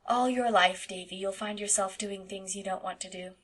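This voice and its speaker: A soft-spoken, kindly young woman in a storybook reading, speaking quietly and patiently, as a woman narrator gives her lines. Her tone stays low-key and tender. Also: steady tone, moderate pace, close to an everyday voice. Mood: gentle, patient. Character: gentle, imaginative young woman.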